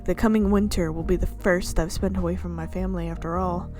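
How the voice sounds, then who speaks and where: A woman's voice: lowish voice, fairly even intonation, a little fast, over music. A woman doing playful let's-play commentary and reading visual novel text aloud, with bubbly little repeats and sound effects. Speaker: gaming YouTuber.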